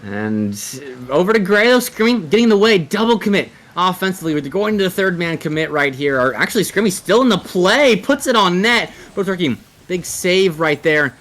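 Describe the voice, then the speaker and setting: A man's voice: high-pitched, lively intonation, moderate pace, hardly any pauses, fairly bright tone. A man giving live esports commentary and analysis, sometimes drawing out words as he works out his phrasing.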